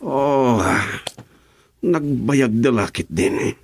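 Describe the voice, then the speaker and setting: A man's voice: medium pitch, lively intonation, drawn-out words, a little mellow, slightly rough, full character voice. A man playing a brash, impatient henchman eager for a fight in a radio drama.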